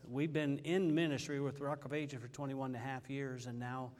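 A man's voice: medium pitch, little pitch movement, moderate pace, a little mellow. A man in his sixties giving a chatty church testimony as a visiting missionary preacher, with lots of fillers and amens. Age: 60s. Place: church sanctuary.

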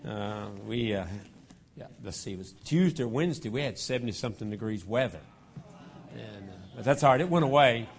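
Hoarse folksy preacher. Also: male voice, mid-range voice, animated, a little fast. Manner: folksy, self-deprecating, chuckling asides. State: hoarse from a cold. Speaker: guest preacher. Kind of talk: joking sermon opening. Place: church auditorium. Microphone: lapel mic.

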